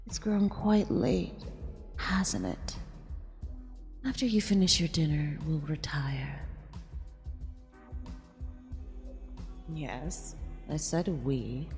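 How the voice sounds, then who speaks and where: Woman as haughty eldritch empress, ASMR roleplay, dark mellow half-whisper, slow deliberate purr, possessive, condescendingly affectionate. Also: low voice, animated, exaggerated voice. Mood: possessive, soothing. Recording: long reverb with music underneath.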